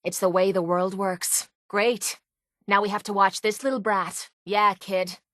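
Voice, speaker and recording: A woman's voice: mid-range voice, little pitch movement, a little slow, a bit bright, no room sound, studio quality, lightly acted. Actress doing a mouthy irish fighter girl for a game, scrappy and mocking, boozy tough type, no room sound. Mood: blunt, mocking. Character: brash, sarcastic, hard-drinking young woman brawler. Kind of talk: video game companion voice lines.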